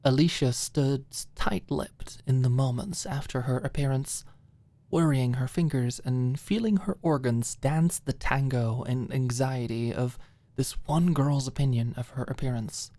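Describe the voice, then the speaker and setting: A woman's voice: very deep voice, unhurried, dark tone, no room sound. A woman narrating scripted fiction as an ASMR audiobook storyteller.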